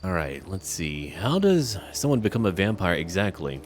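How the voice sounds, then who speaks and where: A man's voice: sits low, big pitch swings, moderate pace, faint music behind. A man doing casual video game commentary, thinking aloud and talking to his viewers.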